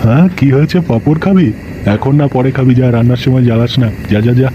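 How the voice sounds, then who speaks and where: A man's voice: mid-range voice, fast talker, background noise, close to an everyday voice. A man voicing brusque, crude, scolding village men in a comic cartoon dub. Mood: irritated.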